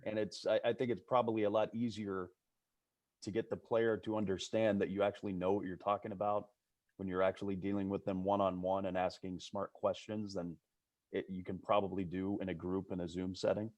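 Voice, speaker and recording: A man's voice: low voice, little pitch movement, fairly quick, darkish tone, no echo. A man who works as a sports broadcaster, talking casually as a guest on a video call. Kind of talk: casual panel commentary. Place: virtual meeting on video call.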